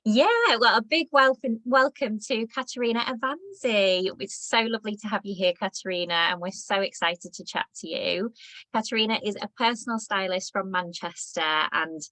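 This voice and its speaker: A woman's voice: mid-range voice, moderate pace, sharp tone, dry recording, low-quality recording. A woman co-hosting a podcast, introducing a guest with enthusiasm. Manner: enthusiastic welcoming. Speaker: podcast co-host.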